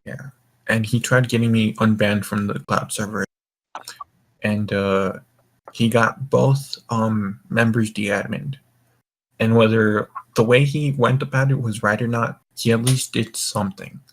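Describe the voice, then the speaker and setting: A man's voice: fairly low pitch, fairly even intonation, a little slow, rough recording. A drunk young man hosting a casual group chat, rambling and swearing as he goes. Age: young adult. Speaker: voice call host.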